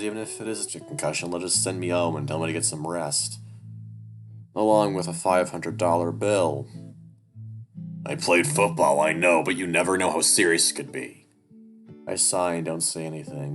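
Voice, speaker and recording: A man reading a story game aloud and commenting on it, swearing often and restarting his sentences. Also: rumbling low. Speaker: gamer playing a story game. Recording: music playing clearly behind.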